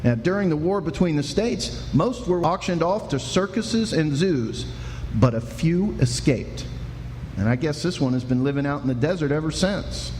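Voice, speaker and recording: A man's voice: on the high side, lively intonation, a little mellow, close to an everyday voice. Man playing a folksy Old West sheriff, echoey hall. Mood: folksy, explaining. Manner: folksy, unhurried explaining. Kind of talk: live Western radio play acting. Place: live performance in a reverberant room. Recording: echoey hall with faint noise.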